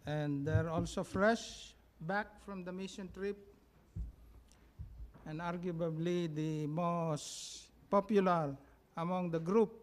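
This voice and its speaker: A man with a Filipino accent giving a mission trip report to his church, halting with many fillers and sounding sluggish from jet lag. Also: sits high, steady tone. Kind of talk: church announcement talk. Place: church sanctuary.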